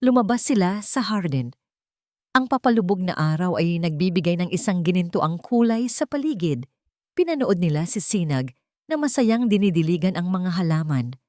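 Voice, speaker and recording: A woman narrating a scripted audio drama story in a sentimental, earnest way, with measured phrasing and dramatic pauses. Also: on the low side, sing-song, a little mellow, no echo, studio quality.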